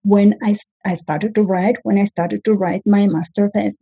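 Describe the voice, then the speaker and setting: A woman's voice: medium pitch, steady tone, normal speed, gravelly, slightly rough, no echo. Woman thesis coach, methodical and earnest, dry close sound, a bit dull. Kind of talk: interview conversation.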